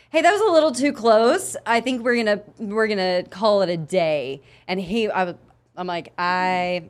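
A woman guest telling a story in casual chat, with a chatty, laughing manner and plenty of fillers. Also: lowish voice.

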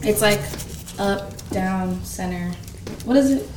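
A woman's voice: mid-range voice, takes it slowly, darkish tone. Playful young woman in an echoey room. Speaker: guest singer-songwriter and model. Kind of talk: casual chat. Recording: echoey room, faint background noise.

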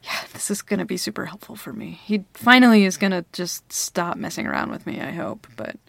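Woman acting a flustered, creaky-voiced smitten young woman. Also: on the low side, lively intonation, a little fast, fairly bright tone, dry recording, heavily acted. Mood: excited, relieved. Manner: hesitant, stammering, giggly. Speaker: audio roleplay performer. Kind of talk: ASMR girlfriend roleplay, intimate one-sided dialogue.

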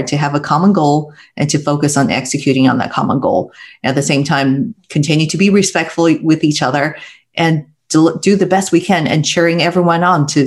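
Reflective Taiwan-born woman telling her story. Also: low voice. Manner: reflective, halting, with small restarts. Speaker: guest. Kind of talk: conversational interview storytelling.

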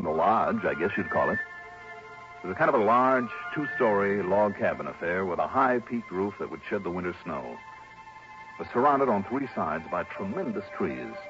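A man plays a wry American investigator from a classic radio drama, conversational and emphatic, in a fairly low voice. He sounds like a seasoned first-person storyteller. The recording is muffled old radio, with clear music behind. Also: close to an everyday voice. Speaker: lead actor and narrator. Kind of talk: 1950s-60s American radio detective acting, first-person narration and dialogue.

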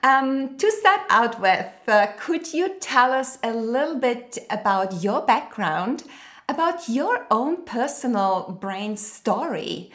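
A woman's voice: fairly high pitch, very animated, takes it slowly, fairly bright tone. A woman hosting a podcast, reading a guest's bio and asking interview questions in an earnest way. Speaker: podcast host. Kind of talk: scripted intro, then interview questions.

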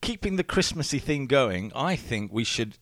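Playful man with lively voice. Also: mid-range voice, normal speed, no echo, studio quality. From England, London. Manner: playful, jokey. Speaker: podcast co-host. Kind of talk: casual chat.

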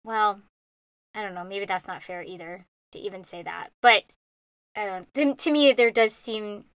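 Woman thinking out loud, unsure and searching, laughs a lot, dry close sound. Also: medium pitch, dry recording. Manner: searching, hesitant, laughs often. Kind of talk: reflective casual conversation.

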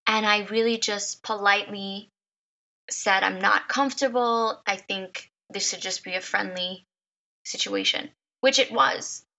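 A woman in her thirties hosting her own podcast, telling a personal story with emotion. Her voice is a little raspy from crying, and she repeats words and laughs often. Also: medium pitch, steady tone, a little slow, pushed and bright, muffled recording. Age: 30s. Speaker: podcast host.

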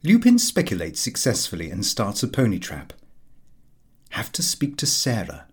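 A man reading a comic diary aloud as expressive audiobook narration. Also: lowish voice, normal speed, breathy edge. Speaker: audiobook reader.

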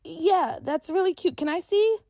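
A woman's voice: very high-pitched, moderate pace, mellow, dry recording, clean studio sound, pitched above the performer's own voice. A woman voicing a frank schoolgirl who speaks her mind in a direct, sassy way. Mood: polite. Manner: direct, sassy, plain-spoken.

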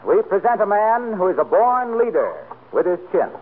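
A man's voice: sits high, big pitch swings, moderate pace, phone-quality sound. A man announcing from a script in the style of 1940s radio. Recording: noisy, thin 1940s radio broadcast.

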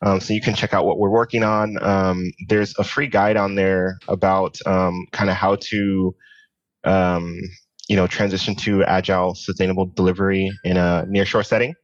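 Man, thirties, low voice, earnest, informal interview talk, dry close sound. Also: flat delivery, normal speed, no echo, muffled recording. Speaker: podcast guest, tech business founder. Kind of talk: casual interview chat.

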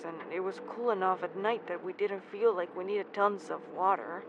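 An actor playing a haunted, guilt-ridden young person who speaks haltingly and stammers, in an audio drama. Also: medium pitch, natural voice. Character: Daniel, haunted guilt-ridden young man confessing childhood trauma. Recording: dull, noisy lo-fi recording.

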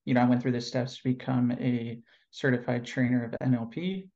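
Gravelly, flat-voiced man, dull lo-fi audio. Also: medium pitch. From California. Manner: relaxed, reflective, many fillers and pauses. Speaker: NLP trainer, interview guest. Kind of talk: casual interview answers.

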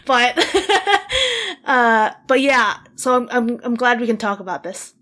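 A woman hosting a podcast, asking easy questions and agreeing in a relaxed, casual way. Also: a little high, slow pace. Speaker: podcast host. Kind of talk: casual interview chat.

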